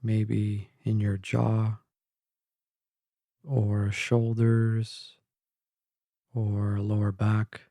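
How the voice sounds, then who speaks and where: Male meditation guide: calm, gentle, mellow tone with some creak, recorded close and dry. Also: fairly low pitch, no echo. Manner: calm, measured, gentle, with long pauses. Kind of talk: slow guided meditation narration.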